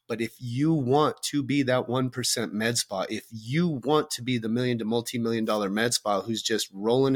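A man with a loud voice co-hosting a podcast, talking in an emphatic, blunt way and swearing for effect. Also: medium pitch. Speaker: podcast co-host. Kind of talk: casual podcast discussion.

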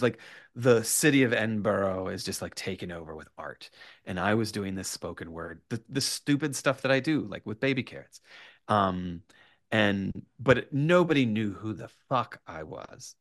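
A man telling an animated anecdote as a podcast guest, excitable and self-deprecating, with casual swearing. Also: on the low side, no echo, clean studio sound. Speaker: podcast guest, writer and storyteller.

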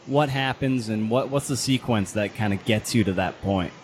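A man hosting a podcast interview, asking questions in halting, thoughtful phrases. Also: on the low side. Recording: clearly noisy background ambience.

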